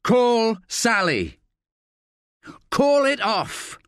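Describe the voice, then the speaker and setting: A stern detective who speaks slowly and firmly, with a lively rise and fall, as a man puts him on. The sound is close and dry. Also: very high voice, clean tone, no room sound, pitched above the performer's own voice, heavily acted.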